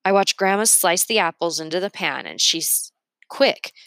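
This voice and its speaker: A woman narrating a storybook aloud in an expressive read-aloud style. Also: on the low side, normal speed, gravelly, no room sound.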